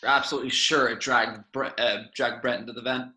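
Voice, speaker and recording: A man's voice: mid-range voice, steady tone, a little fast, sharp tone, slight background noise. A man playing a decisive spaceship captain in an amateur table read of a sci-fi script, recorded in a roomy space with echo. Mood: deliberating, firm. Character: decisive male spaceship captain giving orders.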